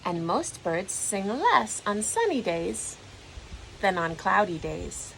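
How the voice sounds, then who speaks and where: A woman reading a children's storybook aloud like a teacher, in an expressive, deliberate storytelling manner. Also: mid-range voice, creaky, slight background noise, rough recording.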